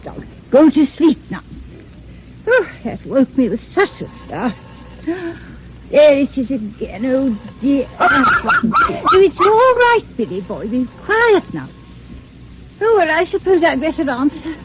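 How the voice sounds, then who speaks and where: A woman's voice: sits high, full character voice. An actress playing a fussy, anxious English spinster who dotes on her pet, in a vintage radio drama. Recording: noisy old radio recording.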